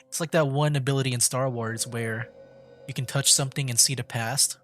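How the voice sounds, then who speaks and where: Casual male game streamer, mid-pitched voice. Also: steady tone, brisk, fairly bright tone. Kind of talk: casual gaming commentary. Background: game music.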